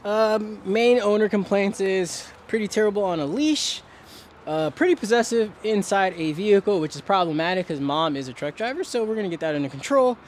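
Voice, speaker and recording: A man's voice: high voice, expressive, brisk, a little hiss or hum. A man working as a dog trainer, talking casually and informally with wry asides while handling a dog outside. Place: outdoors in a narrow fenced turf yard.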